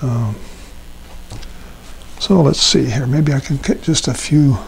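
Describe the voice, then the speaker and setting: An older man demonstrating a watercolor painting as an instructor, talking himself through each step with frequent ums and pauses. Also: mid-range voice, gravelly, slight background noise, poor audio. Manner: relaxed, thinking aloud with many ums. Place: art studio at a painting table.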